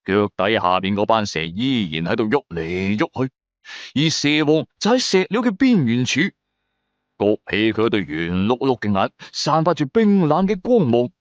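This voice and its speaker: A man's voice: medium pitch, big pitch swings, moderate pace, no echo, dull audio. A man with a Cantonese accent narrating a suspenseful adventure story in the first person, with theatrical, emphatic delivery. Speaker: audiobook narrator.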